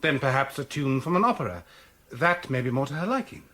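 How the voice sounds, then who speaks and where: An actor playing a confident, polite young gentleman music tutor in a period audio drama, eager and self-assured. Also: medium pitch, lively intonation, fairly quick, lightly acted.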